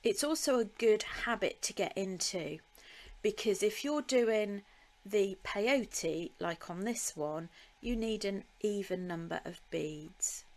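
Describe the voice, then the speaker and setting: A woman giving a live beading tutorial, chatting with her viewers, laughing now and then and filling pauses with ums while she works. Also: medium pitch. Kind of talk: live-stream craft tutorial.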